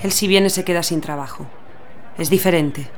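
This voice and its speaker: A woman's voice: on the low side, moderate pace, natural voice. An actress playing a patient, idealistic mother explaining things earnestly to her child in a radio drama. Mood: earnest, hopeful. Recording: busy outdoor street crowd behind.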